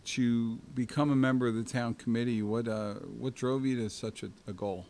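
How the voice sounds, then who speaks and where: A man's voice: mid-range voice, fairly even intonation, moderate pace, darkish tone, slight background noise. A middle-aged man hosting an interview, asking questions conversationally and often restarting them mid-sentence. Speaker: host.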